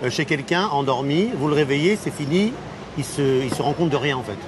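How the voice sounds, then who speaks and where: A man hosting a TV interview, eagerly asking quick follow-up questions. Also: on the high side, animated. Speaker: TV host. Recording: clear background noise.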